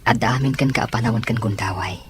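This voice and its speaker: An actor playing a sincere, patient young suitor, speaking earnestly in an Ilocano radio drama. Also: male voice, on the low side, fast talker, a little hiss or hum, natural voice. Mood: earnest, reassuring.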